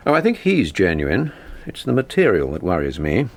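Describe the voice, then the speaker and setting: An actor playing a measured, sceptical intelligence officer in a radio drama, polite but probing. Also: male voice, sits low, wildly swooping pitch, full character voice. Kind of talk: naturalistic radio drama acting.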